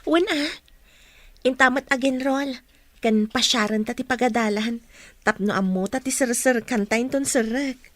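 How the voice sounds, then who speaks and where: A young female student in a radio drama, acted by a woman, has a high-pitched voice. She sounds fussy and practical in conversation. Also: moderate pace, no room sound, natural voice. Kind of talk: Ilocano radio drama acting.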